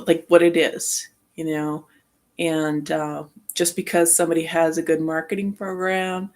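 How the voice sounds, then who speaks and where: A woman sharing rambling personal reflections as a vlogger, speaking haltingly and often trailing off mid-thought. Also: deep voice, gravelly.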